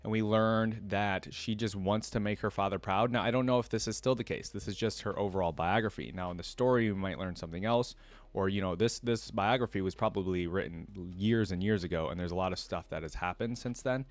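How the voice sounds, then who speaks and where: A man hosting a reaction video, reading a story aloud and adding casual, expressive comments of his own. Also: lowish voice, brisk.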